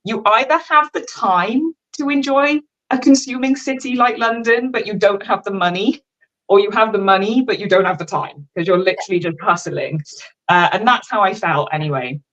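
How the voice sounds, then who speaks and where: A woman's voice: mid-range voice, moderate pace, fairly bright tone, poor audio. A woman in her thirties with a Welsh accent, a fashion designer chatting candidly and casually as a guest on a livestream, poking fun at herself and letting the odd swear slip. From Wales. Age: 30s. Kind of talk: casual livestream interview chat.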